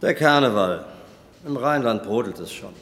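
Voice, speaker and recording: An actor playing a stern senior police official who coldly reprimands a subordinate in a radio drama. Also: male voice, mid-range voice, expressive, moderate pace, a bit bright, slight background noise. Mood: reproachful. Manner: cold, reproachful and sarcastic. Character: stern male senior police superior, reproachful.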